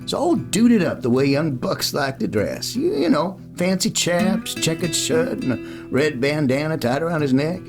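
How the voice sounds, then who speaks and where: A man's voice: a little high, big pitch swings, moderate pace, few pauses. A man playing a folksy cowboy storyteller narrating a Western radio play. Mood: warmly folksy. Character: folksy cowboy storyteller telling a boy a tale. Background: guitar music.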